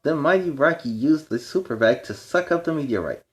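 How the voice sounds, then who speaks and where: Animated man reading to children. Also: a little high, fairly quick. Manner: explains words and lessons to young listeners; playful, in a superhero persona. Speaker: children's story time host. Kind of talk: animated children's storybook read-aloud with chatty asides.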